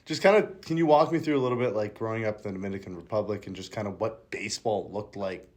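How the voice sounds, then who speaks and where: A young man co-hosts a campus sports podcast and asks his questions in a loose, rambling way. His voice sits on the low side and keeps a casual tone. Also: fast, darkish tone. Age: young adult. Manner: loose, filler-heavy questions with lots of "kinda" and "uh". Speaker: co-host, college pitcher.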